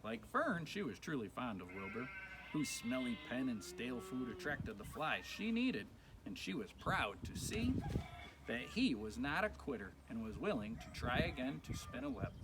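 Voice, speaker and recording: Highly expressive man reading a story. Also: normal speed. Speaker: story reader. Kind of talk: scripted children's book narration. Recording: clearly noisy, farm animal sounds behind.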